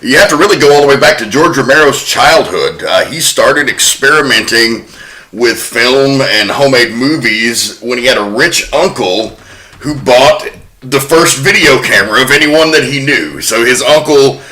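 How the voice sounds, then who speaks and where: A man's voice: mid-range voice, lively intonation, normal speed, pushed and bright. A man hosting a podcast, chatting casually and telling a story with the odd swear word thrown in. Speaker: podcast host.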